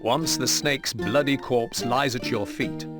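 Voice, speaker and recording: A man's voice: medium pitch, measured pace, close to an everyday voice. A man narrating a military campaign in a video game, formal and solemn, with scripted drama. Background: loud guitar music.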